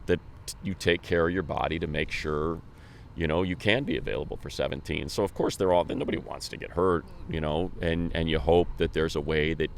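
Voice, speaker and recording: A man's voice: extremely low pitch, vocal fry, slight background noise. An American man hosting a sports show, talking casually in a rambling, slangy way. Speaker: sports radio host.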